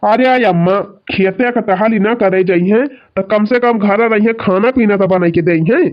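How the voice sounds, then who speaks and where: A man plays an insistent young village son-in-law in a cartoon comedy, his voice high and the sound dull. He complains plaintively in a rustic Awadhi accent. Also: normal speed, lightly acted. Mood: complaining. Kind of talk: rural cartoon comedy dialogue.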